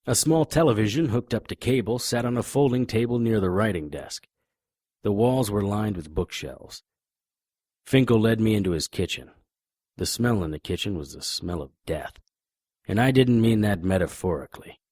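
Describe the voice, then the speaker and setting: An actor playing a deadpan, hardboiled private investigator, narrating and speaking in a noir audio drama. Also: male voice, on the low side, moderate pace, no echo, clean studio sound. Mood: deadpan, tense. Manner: deadpan, wisecracking.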